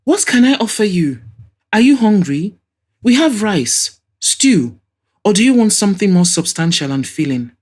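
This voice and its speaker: A woman's voice: medium pitch, sing-song, normal speed, darkish tone, breathy edge, voice pushed up. A woman voicing an effusive, nostalgic older mother and hostess in an audio drama. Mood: effusive, welcoming. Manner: effusive, exclaiming, reminiscing.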